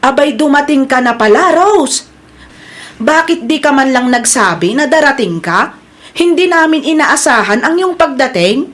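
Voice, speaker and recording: High-voiced sweet-talking wife acted by a woman. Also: expressive, moderate pace, a bit bright. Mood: surprised. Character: sweet-talking wife, uneasy accomplice to her husband. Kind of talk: radio drama acting in Tagalog.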